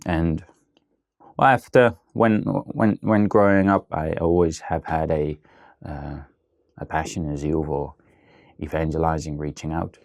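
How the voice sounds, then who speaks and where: A man with a Dutch accent talks in a very deep, gravelly voice. He pauses often on ums and starts his sentences over as he searches for words. He sounds like a guest in a casual interview. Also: steady tone. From Netherlands. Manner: hesitant, many fillers and restarts.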